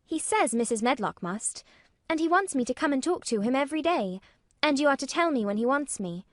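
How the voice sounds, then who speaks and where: A blunt, self-assured young girl, as a woman reads her, her high voice clipped and matter-of-fact. The pitch swings about freely. The recording is close and dry. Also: expressive, a little fast, no echo.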